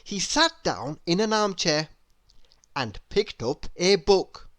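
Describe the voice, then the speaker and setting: A man reading a children's story aloud with dramatic, deliberate, expressive narration. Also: high-pitched, dry recording, studio quality. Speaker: storybook reader.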